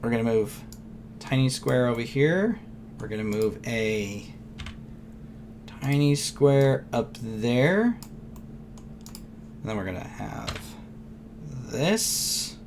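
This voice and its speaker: Hoarse man rambling through a tutorial. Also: mid-range voice, lively intonation, very slow, long pauses, a little mellow, a little hiss or hum. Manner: rambling, loose, thinks aloud with fillers. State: losing his voice, clearing throat. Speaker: software tutorial teacher. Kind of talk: casual screen-recorded tutorial.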